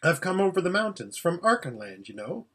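A man's voice: sits high, very animated, brisk, sharp tone, husky, pitched above the performer's own voice, full character voice. A man voicing an earnest, polite, somewhat tentative young boy in a storybook reading.

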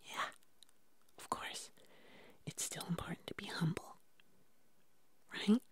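Woman playing a gentle furry puppet creature, ASMR roleplay, whispered, very slow, soothing, affectionate. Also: lowish voice, close to an everyday voice. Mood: adoring. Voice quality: soft, breathy whisper.

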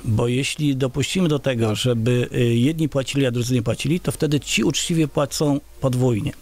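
A middle-aged man speaks into a large studio microphone on a boom arm in a small radio studio. He answers as an interview guest, halting often with filler sounds and restarting his sentences. His pitch sits in the middle range for a man. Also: a little mellow. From Poland. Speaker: village head, interview guest.

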